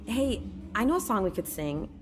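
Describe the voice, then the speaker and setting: A woman's voice: a little high, sing-song, normal speed. An actress playing a pushy, mystical-minded young woman in an audio drama. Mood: insistent, annoyed. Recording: music playing behind the voice.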